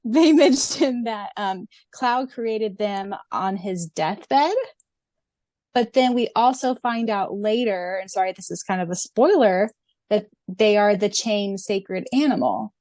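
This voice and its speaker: A woman's voice: mid-range voice, slow pace, a little mellow, no echo. A young adult woman co-hosting a podcast, talking casually in a fan discussion. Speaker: podcast co-host and audiobook narrator.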